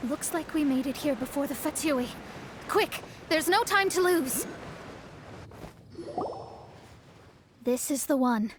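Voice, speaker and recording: A woman playing a proud, haughty young astrologer in a video game, self-assured and quick to sound indignant and defensive. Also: sits high, lively intonation, background noise. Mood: urgent. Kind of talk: English video game voice acting.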